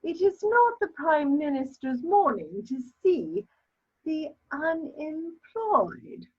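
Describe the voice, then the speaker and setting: A woman's voice: high-pitched, very animated, a little mellow, heavily acted. An actress playing a haughty elderly society mother in a comedy of manners, grand, plaintive and disapproving, on a muffled recording. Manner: grand, lamenting, drawn-out delivery. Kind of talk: stage comedy of manners, dramatic reading.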